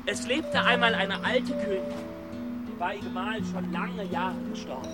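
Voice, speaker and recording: A man acting as an excitable fairy-tale collector in a children's radio play. His voice sits high, with a flustered, halting and coaxing manner. Music plays clearly behind him. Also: close to an everyday voice. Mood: storytelling. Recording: music under the voice.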